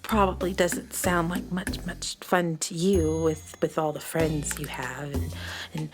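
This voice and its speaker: A woman's voice: deep voice, little pitch movement, unhurried, music in the background. A woman voicing a shy, anxious young alien girl with a heavy stammer in a children's audio drama. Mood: nervous, shy. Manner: heavy stammer, hesitant, timid. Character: shy, anxious young girl sludge creature from gloomy planet.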